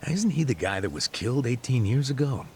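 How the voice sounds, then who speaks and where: An actor playing an aging detective near retirement, sly and persistent, with a gravelly, teasing manner. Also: male voice, mid-range voice, sing-song, fairly quick, a little hiss or hum. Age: older. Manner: teasing, chuckling, prying. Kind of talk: dubbed game cutscene acting.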